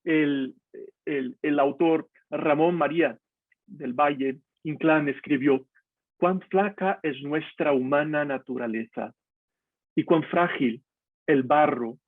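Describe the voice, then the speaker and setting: Husky Brazilian-born pastor, tinny call audio. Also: male voice, on the high side, slow pace, no echo. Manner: candid, confessional, addresses listener directly. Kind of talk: personal devotional talk. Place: home, video call.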